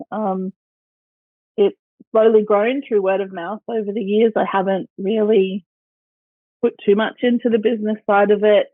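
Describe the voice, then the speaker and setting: An Australian woman in her forties speaks as a podcast guest, easygoing and laughing often. Her speech is full of ums and pauses. The remote recording sounds tinny and phone-like, with a dry sound. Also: medium pitch, no echo. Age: 40s. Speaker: guest, dance studio owner. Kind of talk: casual interview chat.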